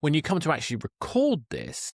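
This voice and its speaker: A man's voice: a little high, wildly swooping pitch, a little fast, creaky, no echo, studio quality. A man hosting a conversational podcast interview, thoughtful and wordy as he searches for his phrasing. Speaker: podcast host interviewing a guest.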